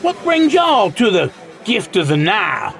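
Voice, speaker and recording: An actor playing a brash, folksy American country band leader with a Southern accent and a boastful drawl in a radio play. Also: male voice, sits high, wildly swooping pitch, unhurried, vocal fry, fairly bright tone, soft background music, heavily acted. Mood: friendly. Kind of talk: radio drama acting.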